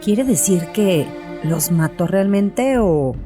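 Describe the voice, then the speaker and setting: An actress playing a composed, probing psychiatrist in an audio drama, speaking in a measured, questioning way. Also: female voice, mid-range voice, expressive. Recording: music under the voice.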